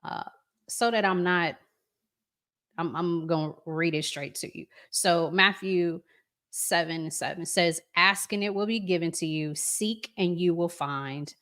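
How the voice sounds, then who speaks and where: A woman hosting a live stream, giving casual, conversational commentary to viewers with deliberate pauses. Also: lowish voice, a bit bright.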